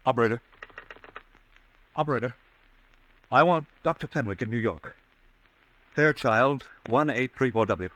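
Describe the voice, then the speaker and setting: An actor playing a tormented, halting and agitated man who doubts his own sanity, in old-time radio suspense style. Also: medium pitch, a bit bright, lightly acted, low-quality recording. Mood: strained, distracted.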